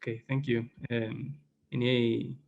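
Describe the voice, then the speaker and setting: A man's voice: medium pitch, flat delivery, unhurried, darkish tone, lo-fi sound. A man moderating a panel, handing over questions in brief, polite phrases over a video call.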